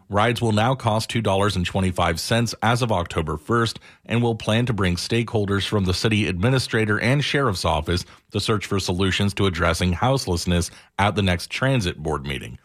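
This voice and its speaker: A male radio reporter with a fairly low voice. He reads scripted news copy in the style of a local news package. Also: a bit bright.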